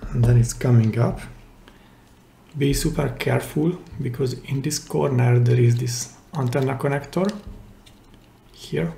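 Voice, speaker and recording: A man giving a hands-on, step-by-step repair tutorial in simple, careful phrases with pauses mid-sentence, recorded indoors at a workbench. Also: medium pitch, vocal fry, mellow, a little hiss or hum. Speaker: DIY repair channel host. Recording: roomy, echoey indoor sound.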